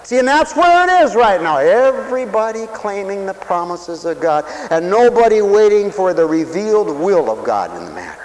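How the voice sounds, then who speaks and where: A man preaching a sermon for radio, who swings from loud, stretched-out lines to quiet asides and acts out other people's voices. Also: high-pitched, very animated, moderate pace, few pauses, poor audio. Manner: dramatic swings from shouting to near whisper, acts out voices.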